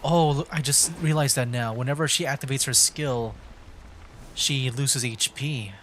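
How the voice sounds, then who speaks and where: A man's voice: mid-range voice, measured pace, a bit bright, a little hiss or hum. A man livestreaming a video game, thinking aloud in a casual, rambling, hesitant way. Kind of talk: casual gameplay commentary.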